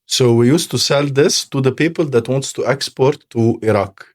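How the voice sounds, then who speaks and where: A man's voice: medium pitch, moderate pace, darkish tone. A man in his thirties from Lebanon, a podcast guest in real estate sales, talking casually with repetitive, emphatic phrasing in English.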